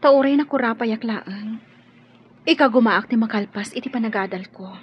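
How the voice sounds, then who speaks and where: An actress plays a modest young Ilocano maid in a radio drama, hesitant and guarded. Her voice is fairly high, on a dull recording with a faint hiss of noise. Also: normal speed, close to an everyday voice. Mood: timid, pleading.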